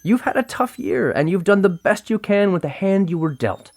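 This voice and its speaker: A woman's voice: mid-range voice, expressive, moderate pace, darkish tone, faint music behind. A woman playing a cool, condescending government agency chief in an audio comedy drama, formal and smugly authoritative.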